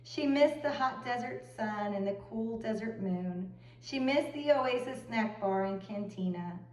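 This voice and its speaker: High-voiced woman reading a children's story. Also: expressive, a little slow, a little mellow. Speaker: read-aloud storyteller. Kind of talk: expressive children's picture book narration. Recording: roomy echo, far from the mic.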